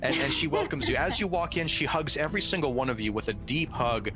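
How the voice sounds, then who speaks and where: A man narrating a tabletop role-playing game as game master, with expressive, descriptive storytelling. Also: mid-range voice, fast talker. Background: music.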